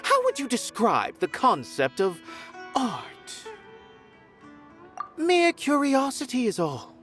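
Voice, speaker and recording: A man's voice: extremely high pitch, wildly swooping pitch, takes it slowly, a bit bright, faint music behind, exaggerated voice. A man voicing an aloof, haughty art critic in a video game, speaking in a pompous, musing and condescending way. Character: aloof, haughty theater-dwelling aesthete and critic.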